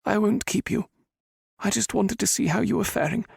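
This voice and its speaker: A man voicing a gentle, grieving middle-aged mother who speaks softly and tearfully. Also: sits high, normal speed, gravelly, slightly rough, no echo, studio quality, pitched above the performer's own voice, heavily acted. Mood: urging. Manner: soft, tearful and pleading.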